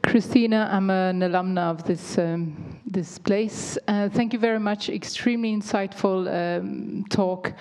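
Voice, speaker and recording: Woman asking a question from the audience, mid pitch, polite and a little hesitant. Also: steady tone, unhurried, hardly any pauses, darkish tone, clear, resonant tone. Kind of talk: question from the floor.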